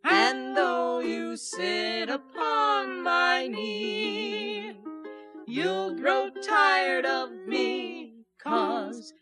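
A woman's voice: extremely high pitch, very animated, extremely slow, over music. A woman singing an old-time popular song with ukulele accompaniment.

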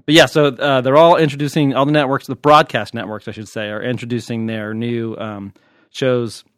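A man's voice: medium pitch, fairly even intonation, moderate pace, a bit bright, no room sound. American man in dry, close podcast sound. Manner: wry, joking. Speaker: TV critic and podcast host. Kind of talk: casual podcast chat.

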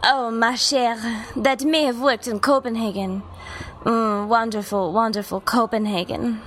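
A woman's voice: a little high, steady tone, measured pace, a bit bright, a little hiss or hum. A woman playing a suave, mockingly flirtatious villainess in a radio drama parody, theatrical and sprinkling phrases. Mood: smug. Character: suave female French-Canadian separatist villain, mockingly flirtatious. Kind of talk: old-time radio drama parody acting.

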